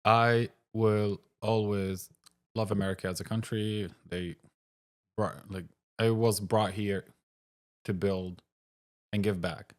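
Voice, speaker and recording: Deep-voiced blunt Syrian-born man. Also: fairly even intonation, takes it slowly, pauses often. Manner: blunt, short answers. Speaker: cafe owner, guest. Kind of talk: casual interview talk.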